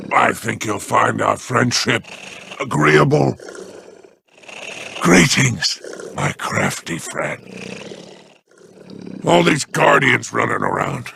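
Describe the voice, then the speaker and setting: An actor playing a sly, scheming male merchant in a video game, talking in a gravelly, silky, menacing salesman's drawl. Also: mid-range voice, background noise, full character voice. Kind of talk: video game character lines.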